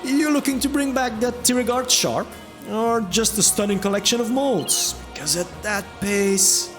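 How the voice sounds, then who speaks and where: A man's voice: very high-pitched, moderate pace, over music, voice pushed up. A man voicing an earnest, playful young adventurer with an eager manner in a fantasy audio drama. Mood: playful taunting.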